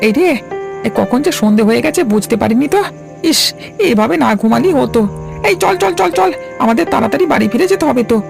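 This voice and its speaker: A woman's voice: a little high, animated, fast talker, gravelly, over music. A woman voicing a chatty young goatherd calling out to the animals in a cartoon. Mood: worried. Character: young goatherd, drowsy then worried, chatty. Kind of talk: cartoon dubbing.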